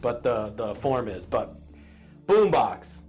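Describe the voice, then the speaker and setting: A man's voice: medium pitch, sing-song, takes it slowly, darkish tone, faint music behind. A middle-aged American man co-hosting a podcast in a casual, conversational style. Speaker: podcast co-host. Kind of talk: casual chat.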